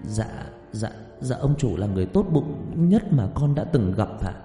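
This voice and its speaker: A male storyteller puts on a timid rickshaw driver, deferential to his master in an audio story. The trembling voice stammers and pleads with very mobile pitch, soft-edged in tone and wrapped in echo. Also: expressive, measured pace. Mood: nervous. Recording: echoey with long reverb, music behind.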